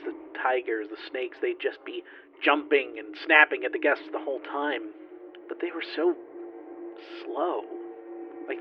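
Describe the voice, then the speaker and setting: A man playing a bitter, haunted lone flight engineer recording halting, sardonic log entries in a sci-fi horror audio drama. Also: medium pitch, lightly acted. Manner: halting, confessional, sardonic, profane. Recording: dull lo-fi, faint noisy radio-like hiss.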